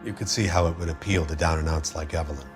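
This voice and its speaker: Weary 40s fella, real low voice, subdued, faint music behind. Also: male voice, steady tone, fast talker. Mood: wistful, subdued. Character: downbeat, world-weary male friend of a murdered woman. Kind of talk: 1940s crime game dialogue acting.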